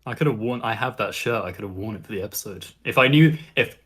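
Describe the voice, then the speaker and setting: A man's voice: lowish voice, expressive, quick pace, a bit bright. A man speaking casually and informally as a panelist on a podcast. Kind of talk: casual chat.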